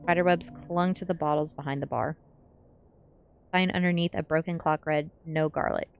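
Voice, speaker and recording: A woman's voice: low voice, steady tone, moderate pace, clean tone, lo-fi sound. A woman streamer reading a gothic teen story aloud in an audiobook style of narration. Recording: faint music behind. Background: faint music.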